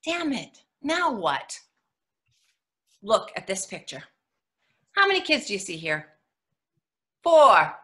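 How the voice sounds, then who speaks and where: A woman playing a frazzled, sardonic mother in an expressive dramatic monologue reading over a video call. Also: medium pitch, slow, pauses often, heavily acted. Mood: sardonic impatience. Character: frazzled, sardonic new mother, sometimes mimicking her own mother.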